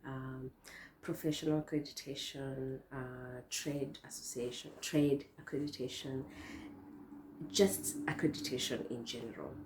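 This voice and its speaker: Hoarse, very low-voiced young woman vlogger. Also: fairly even intonation, measured pace, a little mellow, a little hiss or hum. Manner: chatty, rambling, many fillers and restarts. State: hoarse, losing her voice. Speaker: vlogger host. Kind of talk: casual talk to camera. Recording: echoey room.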